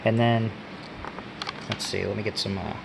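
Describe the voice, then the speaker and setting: Male reviewer, lowish gravelly voice, halting off-the-cuff product walkthrough, noticeable background noise. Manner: unscripted, halting, pausing while handling items. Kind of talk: casual hands-on gadget demonstration. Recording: steady background hum and noise.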